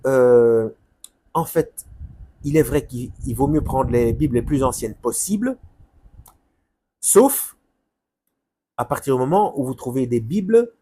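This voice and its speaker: A man's voice: medium pitch, expressive, warm tone, breathy edge. A man reading a book's preface aloud, stumbling over names and breaking off into rambling, self-correcting asides. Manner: halting, stumbles over names, frequent fillers; muses to himself, loses his thread. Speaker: Bible reader and commentator.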